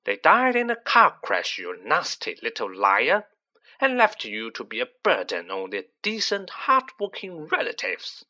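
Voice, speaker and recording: A man's voice: medium pitch, wildly swooping pitch, a little slow, sharp tone, full character voice. A man voicing a boorish, snobbish middle-aged aunt in a storybook, with a blustering, contemptuous delivery. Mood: furious. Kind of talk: storybook character voice.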